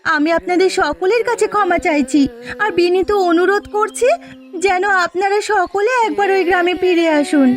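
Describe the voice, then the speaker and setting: Cartoon role: imploring, remorseful village wife female actor, extremely high voice, melodramatic delivery. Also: normal speed. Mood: earnest, imploring. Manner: earnest, imploring.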